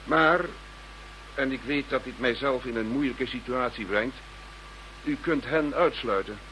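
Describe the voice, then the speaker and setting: Cabinet minister: Dutch, dignified formality, careful, earnest, radio play with slight hiss. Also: male voice, mid-range voice, measured pace, pushed and bright, poor audio.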